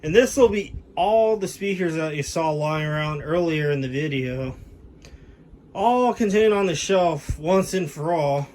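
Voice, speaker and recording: A man with a fairly high-pitched voice gives a casual, informal walk-through in home-video style. He chats as a hobbyist showing off his setup. The sound is that of a handheld camera mic indoors. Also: unhurried, darkish tone, slight background noise. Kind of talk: casual handheld show-and-tell narration.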